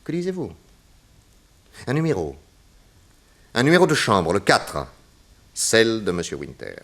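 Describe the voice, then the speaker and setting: An actor playing a self-assured, logical private detective, delivering measured deductions in a theatrical 1960s radio crime drama. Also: male voice, on the low side, wildly swooping pitch, fairly bright tone, slight background noise, exaggerated voice. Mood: confident, accusing.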